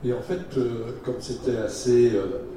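A man hosting a live interview, asking questions in a measured, formal way. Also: mid-range voice, fairly even intonation, mellow, a little hiss or hum. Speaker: host interviewer. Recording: echoey hall, far from the mic.

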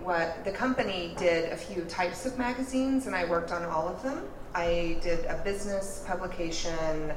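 A middle-aged woman, answering interview questions in a relaxed, self-deprecating way with many fillers. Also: on the low side, echoey room, noisy background. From born in Missouri, raised in Texas. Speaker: author guest.

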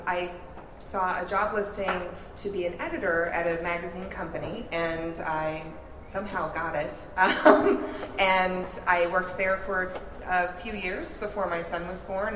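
Woman, middle-aged, relaxed and hesitant, roomy hall. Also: lowish voice, slow pace, background noise. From born in Missouri, raised in Texas. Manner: relaxed, self-deprecating, hesitant with fillers. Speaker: author guest. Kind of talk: interview answers through an interpreter.

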